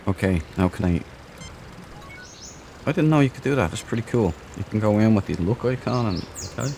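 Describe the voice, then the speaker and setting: A man's voice: on the low side, expressive, fairly quick, gravelly, dark tone, slight background noise. A man hosting a let's play, thinking aloud in casual, cheeky, jokey commentary.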